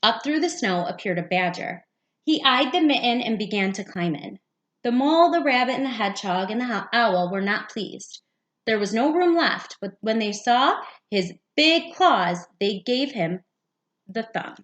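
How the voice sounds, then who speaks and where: Lady teacher reading a kids storybook out loud, voice a bit on the high side. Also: expressive, normal speed. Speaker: second-grade teacher. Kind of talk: read-aloud picture book narration for children.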